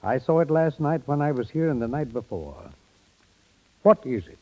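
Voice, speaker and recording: A man's voice: medium pitch, expressive, normal speed, warm tone, natural voice. Man acting a frank, concerned father, old radio. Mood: grave, concerned. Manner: earnest, frank, probing. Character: concerned, plain-spoken father, newly a grandfather. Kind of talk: 1930s radio drama acting. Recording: dull vintage 1930s radio recording.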